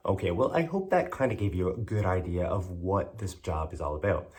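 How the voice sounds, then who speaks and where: Male interviewer and presenter, deep voice with animated swings, casual and discursive delivery. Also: a little fast. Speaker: host and interviewer.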